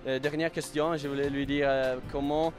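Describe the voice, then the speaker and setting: A man's voice: fairly high pitch, level tone, moderate pace, soft background music. Upbeat jokey male interviewer outdoors. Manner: upbeat, jokey, many fillers. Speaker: interviewer, channel host. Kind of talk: casual street interview.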